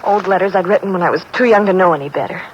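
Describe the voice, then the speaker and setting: A woman's voice: on the low side, racing, dull audio, slight background noise, lightly acted. An actress playing a nervous, defensive young woman under suspicion, hesitant and guarded, in 1950s radio melodrama style. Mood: anxious, defensive. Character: defensive, nervous young female murder suspect. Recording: vintage 1950s radio broadcast.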